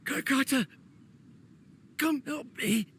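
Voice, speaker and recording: A man's voice: very high-pitched, sharp tone, a little hiss or hum, exaggerated voice. A man playing a frail, regretful researcher in a video game, weakened, coughing and short of breath. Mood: weak, alarmed. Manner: weak, halting, breathy gasps and coughs. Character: dying male researcher, frail, regretful inventor of machines. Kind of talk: dramatic game voice acting.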